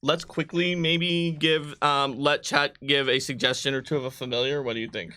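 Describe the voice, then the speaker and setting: A man with a slightly high, very bright voice co-hosts a tabletop game stream. He explains rules in a casual, conversational way. The sound is dry, with little room to it. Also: steady tone, moderate pace, dry recording.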